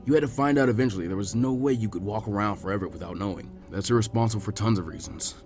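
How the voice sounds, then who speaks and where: A man's voice: lowish voice, quick pace, a little mellow, faint music behind, pitched below the performer's own voice, lightly acted. A man voicing an earnest, firm, reassuring adult ninja teacher in storybook dialogue. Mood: earnest, explaining.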